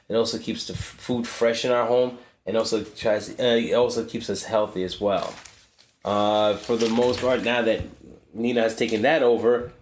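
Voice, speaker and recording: Man, somewhat low voice, casual podcast co-host, steady, practical. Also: echoey room, a little hiss or hum. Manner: married couple's parent perspective.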